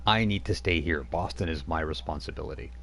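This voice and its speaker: A man narrating a tabletop game as game master, speaking in a halting way with many fillers. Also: very deep voice, fairly bright tone.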